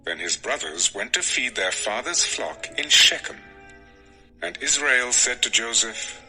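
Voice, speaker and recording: A man's voice: medium pitch, expressive, measured pace, pushed and bright, low-quality recording. A man narrating a dramatized Bible story as a solemn, scripted storyteller. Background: music.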